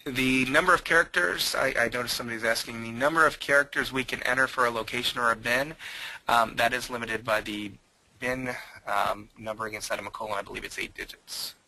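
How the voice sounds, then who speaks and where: A man presenting software in a webinar, answering audience questions with frequent hesitations. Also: mid-range voice, fairly even intonation, fairly quick, rough recording. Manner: hesitant, many um fillers.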